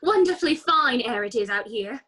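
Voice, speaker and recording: A woman's voice: fairly high pitch, expressive, normal speed, pushed and bright, slight background noise. A woman voicing a pert, disdainful talking garden flower in a children's read-aloud play, sassy and emphatic. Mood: knowing.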